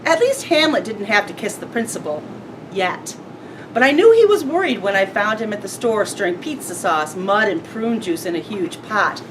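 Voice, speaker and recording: A woman's voice: big pitch swings, normal speed. A woman reading a children's story aloud as a member of school staff. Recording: noisy, rumbly, distant microphone.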